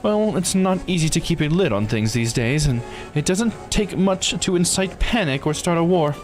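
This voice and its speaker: A man voicing a composed, blunt law officer in a visual novel, explaining things in a measured, formal way. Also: fairly high pitch, animated, faint music behind, pitched above the performer's own voice, close to an everyday voice. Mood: firm, explanatory.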